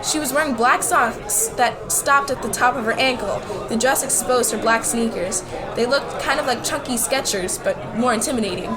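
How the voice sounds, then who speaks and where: Woman playing a high-voiced nervous schoolgirl, chatter behind. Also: moderate pace, loud music behind the voice, natural voice. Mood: narrating. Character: nervous, bewildered schoolgirl new to a magic school. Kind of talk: first-person story narration and acted dialogue in an amateur radio play.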